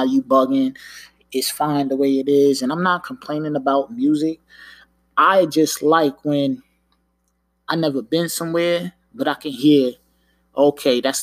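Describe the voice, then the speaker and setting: A man's voice: medium pitch, no echo. Laid-back New York man rambling. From Long Island, New York. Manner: laid-back, rambling, lots of filler and "you know what I'm saying". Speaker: podcast host. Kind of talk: casual solo podcast rant. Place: home room, downstairs.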